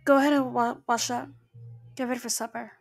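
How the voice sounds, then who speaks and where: A woman's voice: a little high, fairly even intonation, a little fast, gravelly, faint music behind. A woman voicing a playful, doting goddess sorceress in an ASMR roleplay, cooing and teasing affectionately.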